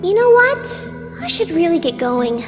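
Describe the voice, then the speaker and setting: A woman's voice: very high voice, very animated, a little slow, heavily acted. A woman voicing an immature, girlish magical being in a comic dub, flippant, stubborn and casual in a childlike way. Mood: dismissive. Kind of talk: scripted fan comic dub acting. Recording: long reverb over music.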